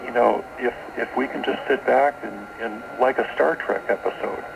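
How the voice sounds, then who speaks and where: A man's voice: mid-range voice, moderate pace, vocal fry, noisy background. A man being interviewed as a guest author on a radio show, explaining esoteric ideas and often repeating words as he goes, taped from a shortwave broadcast. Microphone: radio broadcast, taped off air.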